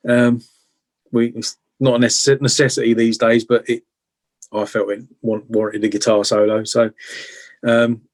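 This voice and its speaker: A man's voice: medium pitch, flat delivery, moderate pace, darkish tone. A British man chatting casually and informally as a guitarist being interviewed, often adding tag questions. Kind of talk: casual chat about music-making.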